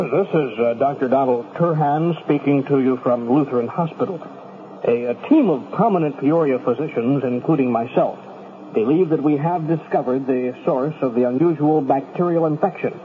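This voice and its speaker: An actor playing an earnest, authoritative doctor in a 1970s radio drama, reading a warning formally and emphatically with slight stumbles. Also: male voice, medium pitch, moderate pace, natural voice. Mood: grave, emphatic. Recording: tinny, noisy 1970s radio recording.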